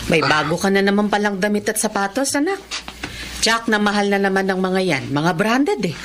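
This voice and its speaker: A woman's voice: mid-range voice, expressive, normal speed, lightly acted. An actress playing a middle-aged mother, nagging yet affectionate, in a radio drama. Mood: scolding.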